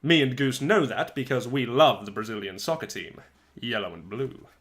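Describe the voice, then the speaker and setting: A man voicing a chatty, wry eleven-year-old soccer-mad schoolboy in a dramatic storybook reading, conversational with animated asides and pauses. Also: mid-range voice, natural voice. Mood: eager.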